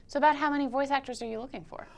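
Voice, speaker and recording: Higher-voiced woman interviewing. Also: fairly even intonation, rapid-fire, creaky. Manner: short questions with attentive backchannels. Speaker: interviewer, host. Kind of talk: casual local TV interview.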